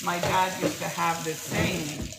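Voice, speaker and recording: A woman's voice: lowish voice, flat delivery, takes it slowly, vocal fry, heavy background noise. A woman, telling her life story to camera in a blunt, rambling way and swearing freely. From Texas, US. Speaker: vlogger.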